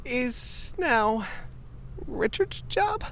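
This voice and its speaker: An eager young office newcomer in a comedy podcast drama voiced by a woman. The voice is high and bouncy in pitch, hesitant, good-natured and nervous, with faint noise behind it. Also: extremely slow, full character voice. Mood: nervously upbeat. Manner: hesitant, excitable, peacemaking. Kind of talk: comedy fantasy audio drama acting.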